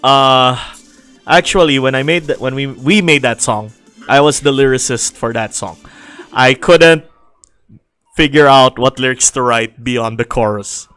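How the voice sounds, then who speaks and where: A man's voice: medium pitch, measured pace, a bit bright, clear, resonant tone, no echo, faint music behind. A man hosting a casual game livestream, answering viewer questions with frequent restarts and laughter. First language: not Japanese.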